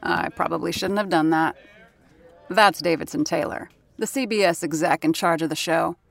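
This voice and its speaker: Wry, low-voiced radio sound woman. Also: moderate pace, vocal fry. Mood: wry, confiding. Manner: wry, conversational asides to the listener. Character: wry, seasoned radio sound-effects woman, no-nonsense and fond. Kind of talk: 1930s-set audio drama narration and dialogue.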